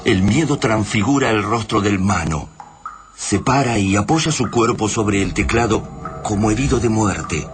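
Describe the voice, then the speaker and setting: A man narrating a science fiction radio drama in a solemn, measured, literary manner. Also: on the low side, music in the background, close to an everyday voice. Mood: grave.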